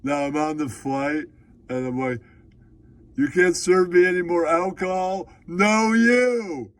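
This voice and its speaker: A man's voice: sits high, a bit bright, no echo, voice pushed up. A man playing a foul-mouthed, aggrieved puppet character who blusters indignantly in an improvised comic monologue. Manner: blustering, swearing, drawn-out indignation.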